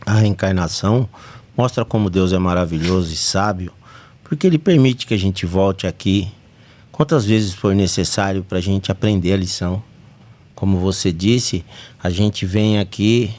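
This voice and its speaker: A Brazilian man with a lowish voice talks earnestly as the host of a spiritist study podcast. His Brazilian Portuguese accent comes through, and he climbs now and then to emphatic peaks. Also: darkish tone.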